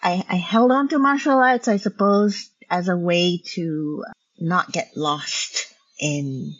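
A choreographer whose first language is Hokkien, speaking in a reflective conversation over a video call, thoughtful and halting, with many restarts. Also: female voice, lowish voice, slightly rough. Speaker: choreographer and teacher. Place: home in Vancouver. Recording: dull lo-fi video call audio.

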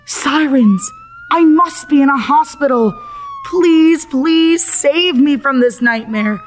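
A woman's voice: high-pitched, expressive, slow pace, slight background noise. A woman playing a confused, exasperated accident victim in an audio drama, with sarcastic, dramatic, slangy delivery. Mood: bored, sarcastic. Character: confused, exasperated accident victim stuck in afterlife waiting room.

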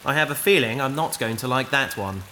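Casting: woman as dry, patient space adventurer, bright and expressive, gently correcting, sci-fi audio drama over faint ambience. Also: a bass voice, fairly quick, slight background noise, full character voice. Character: patient, wry adventurer minding a wayward AI companion.

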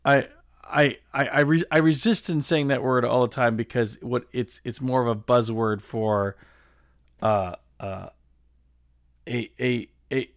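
A man's voice: medium pitch, unhurried, dry recording, clean studio sound. A man co-hosting a podcast, stammering and repeating words as he gives strong opinions on films. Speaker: podcast co-host. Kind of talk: casual film discussion.

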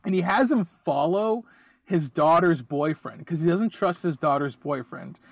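A man's voice: a little high, a little slow, no room sound. A man co-hosting a casual podcast, joking and teasing, laughing often as he talks. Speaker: podcast co-host. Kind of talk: casual chat.

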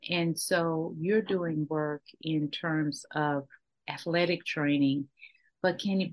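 A woman from Texas hosts a casual podcast interview in a notably low voice. She talks with enthusiasm and chatty warmth of manner, leaning on fillers and restarting her sentences as she goes. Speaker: podcast host.

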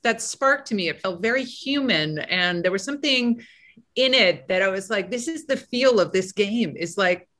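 A woman's voice: mid-range voice, pushed and bright. A woman screenwriter with a big voice, chatting casually as a podcast guest, self-deprecating and full of restarts.

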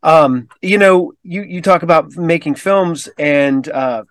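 Host: man, a touch higher-pitched, halting and repetitive, lots of fillers, dry sound. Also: a bit bright, dry recording. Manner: hesitant, repeats words, many fillers. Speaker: podcast host. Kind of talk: casual conversation.

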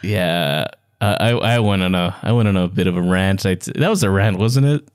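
A man with a fairly low voice, recorded close and dry. He talks casually as a podcast co-host, feeling his way through halting phrases. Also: smooth, dry recording. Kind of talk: casual chat.

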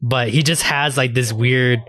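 A man's voice: medium pitch, steady tone, unhurried, a bit bright. A man hosting a podcast in a casual, chatty style. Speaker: podcast host. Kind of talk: casual chat.

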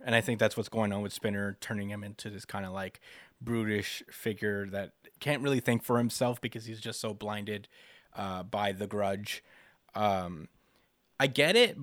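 A man with a fairly low voice chats analytically as a podcast co-host. He works through his points hesitantly and thoughtfully, recorded close and dry. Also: dry recording. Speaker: manga discussion podcast co-host. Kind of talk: casual analytical chat.

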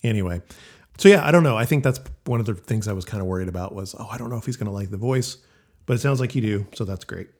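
A man hosting a casual music podcast, talking loosely with lots of fillers and quick agreements. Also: fairly low pitch, a little mellow, husky. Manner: laid-back, many fillers and quick agreements. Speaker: podcast host.

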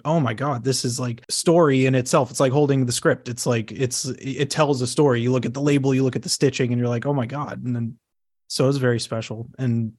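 A man's voice: mid-range voice, a little mellow, no room sound. A man in a casual roundtable, talking enthusiastically with tumbling restarts and emphatic asides. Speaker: podcast team member.

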